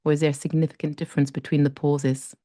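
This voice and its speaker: A woman guiding a breathing meditation, speaking slowly and gently with long pauses. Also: deep voice, little pitch movement, no echo, clean studio sound. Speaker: meditation guide.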